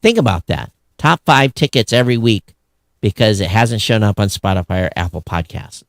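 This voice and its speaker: A man's voice: lowish voice, animated, normal speed, gravelly, no room sound. Man co-hosting a casual show, dry close sound. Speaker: podcast co-host. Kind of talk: casual talk-show commentary on tech news.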